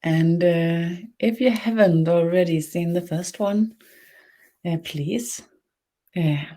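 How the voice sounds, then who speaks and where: A woman from Denmark with a Danish accent and Danish as her first language, hosting a live-streamed spiritual webinar, speaking slowly with many fillers and restarts. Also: lowish voice, dark tone. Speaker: webinar host, spiritual teacher.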